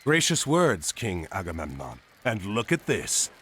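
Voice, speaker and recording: An actor playing a resolute admiral and warrior hero in a video game, commanding and earnest. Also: male voice, deep voice, wildly swooping pitch, unhurried, fairly bright tone, slightly rough, exaggerated voice. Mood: composed. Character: bold, resolute Atlantean admiral, heroic male warrior. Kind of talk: video game cutscene acting.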